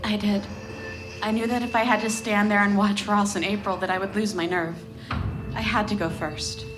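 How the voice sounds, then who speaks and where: An actress playing a grave, defiant female survivor, recounting trauma in a dramatic, deliberate way for horror audio fiction. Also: medium pitch, steady tone, close to an everyday voice. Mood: grim. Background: music.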